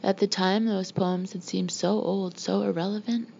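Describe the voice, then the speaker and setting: Woman reading an audiobook memoir, lowish gravelly voice, kinda muffled recording w some background noise. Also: steady tone, moderate pace, darkish tone. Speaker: audiobook reader. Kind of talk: reading a memoir aloud, scripted narration.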